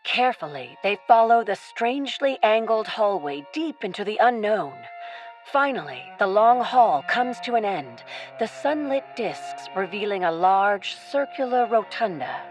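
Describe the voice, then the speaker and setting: A woman's voice: mid-range voice, animated, a little slow. Dramatic female storyteller over music. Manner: dramatic, descriptive delivery. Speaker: narrator. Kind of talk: scripted storytelling narration for a radio play.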